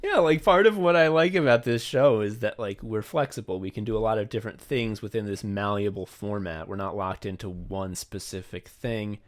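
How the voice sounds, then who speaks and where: Male podcast co-host, lower-pitched, loose conversational delivery, freely profane. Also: moderate pace, hardly any pauses. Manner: frequent casual swearing. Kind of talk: casual behind-the-scenes podcast chat.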